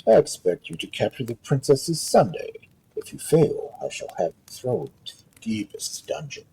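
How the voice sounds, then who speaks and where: A man's voice: a little high, wildly swooping pitch, moderate pace, gravelly, dark tone, husky, pitched above the performer's own voice, full character voice, poor audio. A man voicing a commanding, distrustful king in a folk tale, stern and imperious.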